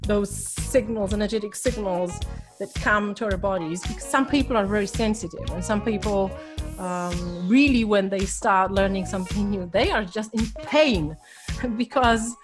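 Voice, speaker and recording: A woman's voice: mid-range voice, normal speed. Polish lady coaching language learners, lots of ums and restarts, music under her voice. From Poland. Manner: hesitant, with many ums and restarts. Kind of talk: motivational talk to course members.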